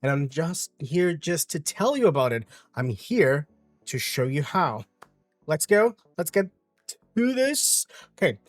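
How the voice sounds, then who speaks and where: Brazilian-accented man, slightly high voice. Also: very animated, slow pace, no room sound, soft background music. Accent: Brazilian Portuguese. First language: Brazilian Portuguese. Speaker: English teacher. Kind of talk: explaining his course step by step.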